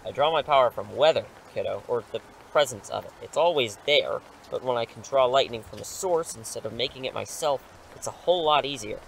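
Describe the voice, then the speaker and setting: A man's voice: mid-range voice, a little fast, vocal fry, hardly any pauses, a little hiss or hum, lightly acted, low-quality recording. A man playing an eager, cocky English teacher who is secretly a superhero, breezy and persuasive, in an audio drama. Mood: easygoing.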